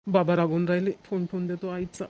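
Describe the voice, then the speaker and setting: Man voicing a very rapid, flat-toned young boy. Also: high-pitched, natural voice. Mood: pleading, hushed. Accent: Marathi, Vidarbha. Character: young boy, pleading older brother. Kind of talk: cartoon comedy dialogue.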